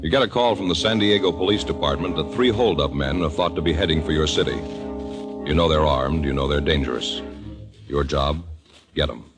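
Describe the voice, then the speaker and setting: A man's voice: a bass voice, fairly quick, over loud music. A man reading an old radio show opening and ads in an emphatic, dramatic way. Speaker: announcer. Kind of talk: 1950s radio announcing and ad reading.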